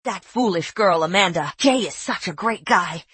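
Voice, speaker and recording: A middle-aged mother whose voice swings widely as she scolds in outrage. The sound is slightly husky, close and dry. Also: fairly low pitch, expressive, normal speed, fairly bright tone, dry recording, full character voice. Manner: emotional, indignant scolding.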